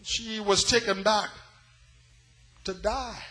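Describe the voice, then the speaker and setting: A man from West Africa preaching an impassioned sermon, dramatic, moving between hushed gravity and loud, repeated cries. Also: sits high, steady tone, slow pace. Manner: dramatic, swinging from hushed grave passages to shouted repetition.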